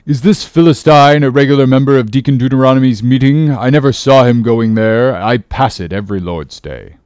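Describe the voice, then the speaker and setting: A man voicing a stern, pious and suspicious old shipowner in an audiobook, hollow-voiced, with drawn-out archaic thee-and-thou speech. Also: fairly low pitch, animated, a little mellow, no room sound, studio quality. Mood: incredulous.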